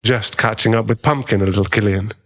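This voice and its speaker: A man's voice: on the low side, fairly even intonation, fairly quick, fairly bright tone, muffled recording. Man acting as an earnest, kindly agent. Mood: gently teasing. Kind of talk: audio drama acting.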